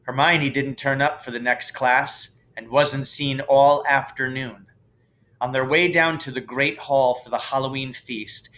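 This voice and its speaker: A man reading a storybook aloud as a teacher would, in expressive narration. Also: medium pitch.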